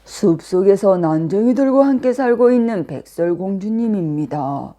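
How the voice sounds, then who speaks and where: A woman voicing a solemn magic mirror in a fairy tale, answering in a measured, formal way. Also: medium pitch, expressive, mellow, close to an everyday voice.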